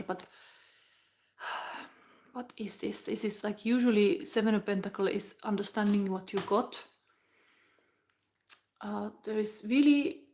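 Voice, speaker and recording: A woman in her forties, giving a live tarot reading in a halting, musing way with soft whispered asides. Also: mid-range voice. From Finland. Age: 40s. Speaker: tarot reader.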